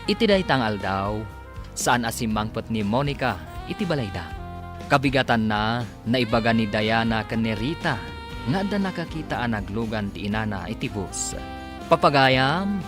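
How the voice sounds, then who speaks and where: A radio drama announcer narrates a serial in a scripted style. The voice sits at a middle pitch, with music playing behind it. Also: expressive, normal speed.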